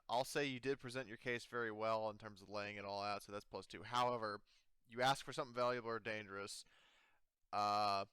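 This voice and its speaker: A man's voice: on the low side, pushed and bright, smooth, no room sound. A man acting as game master, talking casually and thinking aloud through the rules, with some swearing. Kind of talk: casual tabletop roleplaying game talk.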